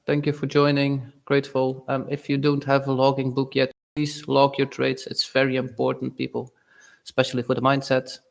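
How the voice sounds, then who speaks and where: A young man from Belgium, speaking natively, giving casual, halting live-stream commentary on his trades and answering chat. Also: medium pitch, completely flat delivery, vocal fry. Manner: casual, halting, reads chat aloud with fillers.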